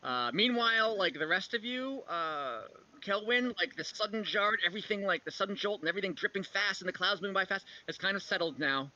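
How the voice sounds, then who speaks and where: A man improvising halting, conversational narration as the game master of an online tabletop game. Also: high-pitched, lively intonation, pushed and bright. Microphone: video call. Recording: dull, band-limited video call audio.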